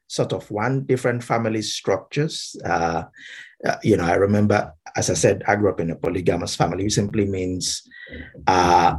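A man from Nigeria with a Nigerian accent, speaking reflectively as an interview guest in measured, halting, explanatory phrases. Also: fairly low pitch, slightly rough. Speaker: interview guest, Baptist minister.

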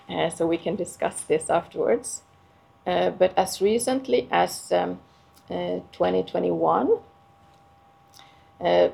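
Low, gravelly woman. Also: a little mellow. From Finland. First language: Swedish. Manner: hesitant, many filler sounds and restarts. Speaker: conference speaker. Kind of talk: academic conference paper.

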